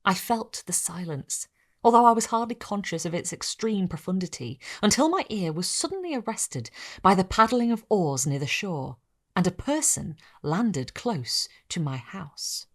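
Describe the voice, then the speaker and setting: A woman narrating a Gothic story in a hushed, measured reading. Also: mid-range voice, sing-song. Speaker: story reader. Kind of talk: dramatic reading of a Gothic novel.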